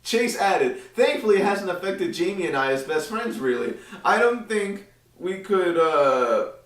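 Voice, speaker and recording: A man's voice: sits high, very animated, a little slow, fairly bright tone. A young man co-hosting a casual podcast, reading an article aloud and joking with frequent laughs. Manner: joking, laughs often, mock-reads quotes. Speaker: podcast co-host. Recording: echoey room, distant mic.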